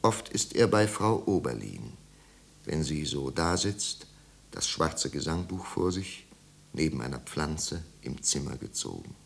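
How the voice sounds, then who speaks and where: A man with a low, gravelly voice narrates a 1950s German radio play. His literary delivery is measured and grave, with dramatic weight. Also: big pitch swings.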